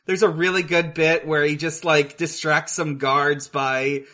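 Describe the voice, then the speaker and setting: A man with a slightly high voice talks slowly as a casual podcast co-host. He is hesitant and halting, working out his thoughts aloud while discussing film. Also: level tone, bright, forceful tone.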